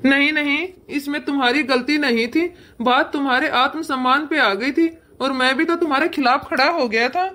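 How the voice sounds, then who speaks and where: A woman's voice: high-pitched, normal speed, hardly any pauses, bright, forceful tone, voice pushed down. A woman voicing a remorseful, ailing son and husband in a cartoon moral story, pleading plaintively and earnestly. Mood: apologetic, tender.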